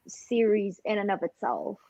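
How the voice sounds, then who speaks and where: A woman's voice: medium pitch, very animated, normal speed, gravelly, warm tone, dry recording. A woman co-hosting a casual book discussion, speaking conversationally over lo-fi call audio. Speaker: co-host on a book discussion livestream. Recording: dull, dry lo-fi call audio.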